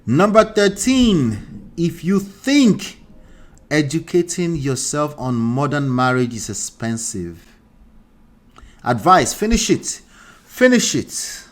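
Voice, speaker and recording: A man with a Nigerian accent whose first language is the Akure dialect, hosting a relationship livestream, reading out viewer comments and repeating points with animated emphasis. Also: on the high side, takes it slowly. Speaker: relationship coach host.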